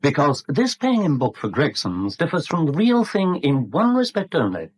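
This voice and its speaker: A man's voice: fairly high pitch, wildly swooping pitch, moderate pace, husky, heavily acted. A man acting a smug, breezy British confidence trickster in a 1970s radio drama, jaunty, sly and condescending. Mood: gleeful, scheming.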